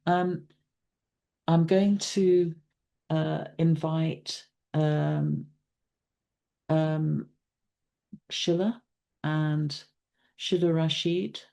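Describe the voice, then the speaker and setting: A woman hosting a book launch, handing over to speakers in a halting, measured, unscripted way. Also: sits low, creaky, mellow. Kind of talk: unscripted event introduction.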